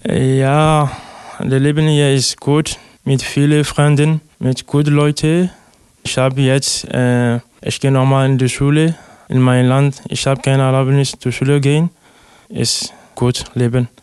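A man's voice: medium pitch, little pitch movement, measured pace, a little mellow, smooth, no room sound. A young man from Togo answering interview questions in hesitant, simple sentences with many fillers. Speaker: interviewee, refugee. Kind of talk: halting interview answers in learner German.

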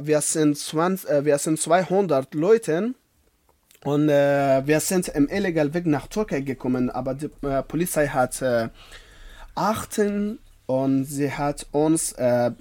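A young man from Afghanistan with an Afghan accent, a Dari speaker, telling his story in a radio studio in halting German with many pauses. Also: fairly high pitch, darkish tone. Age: young adult. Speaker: interview guest.

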